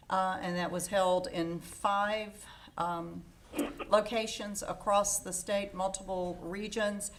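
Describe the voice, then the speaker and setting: A woman giving a work briefing as a program director on a conference call. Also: fairly low pitch. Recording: conference audio with clear background noise.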